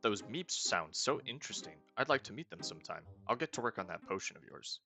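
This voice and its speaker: A kindly, chatty village healer from a fantasy video game, read out by a man in his own low voice. The voice sits very low with a gravelly fry, and the tone stays friendly. Soft game music plays underneath. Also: sing-song, fast, heavily acted.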